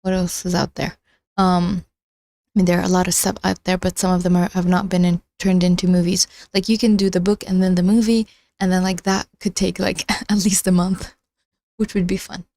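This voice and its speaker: A woman's voice: on the low side, flat delivery, moderate pace, darkish tone, dry recording, studio quality. A young woman whose first language is not English, chatting casually and rambling on a live stream from her phone, with lots of restarts and giggles. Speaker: livestreamer.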